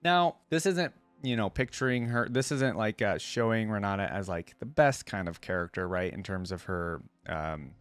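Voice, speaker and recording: A man hosting a reaction video, talking casually as he reads aloud and thinks out loud, often checking himself with tag questions. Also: lowish voice, moderate pace, hardly any pauses, clean tone, dry recording, soft background music. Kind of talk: casual reaction commentary mixed with reading aloud.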